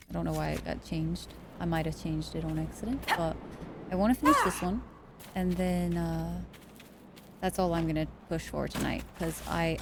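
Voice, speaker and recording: A woman's voice: on the low side, gravelly, noisy background. Tired, chatty woman streamer. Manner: chatty, thanks viewers and reads chat aloud. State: tired, late at night. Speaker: game streamer. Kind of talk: casual chat while gaming live.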